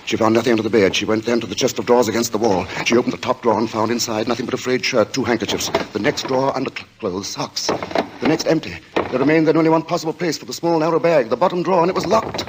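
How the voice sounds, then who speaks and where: A man narrating a suspense story in 1940s radio style, grave and deliberate, with dramatic pauses. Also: mid-range voice, background noise, natural voice. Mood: suspenseful. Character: grave storyteller of a creeping murder mystery.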